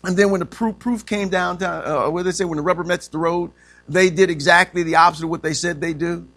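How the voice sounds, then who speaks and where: A man's voice: sits high, steady tone, a little fast, a bit bright. A man, preaching a sermon as pastor, punctuating points with "amen" and rising emphatic questions. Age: 50s.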